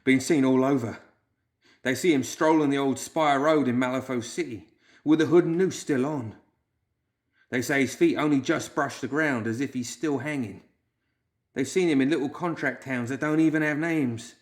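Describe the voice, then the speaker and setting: A man putting on a jittery male clerk who whimpers his words out in a raised, strained voice, louder than the storyteller's own telling. He sounds shaken and frightened in a storybook reading. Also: mid-range voice, normal speed, pitched above the performer's own voice, natural voice. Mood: fearful. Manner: whimpering, wailing.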